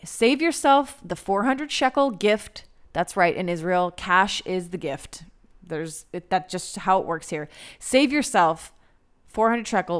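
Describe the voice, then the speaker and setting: Blunt young American woman, smooth voice. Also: mid-range voice, a bit bright. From United States. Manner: blunt, candid, swears freely. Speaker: advice vlogger. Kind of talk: casual advice monologue.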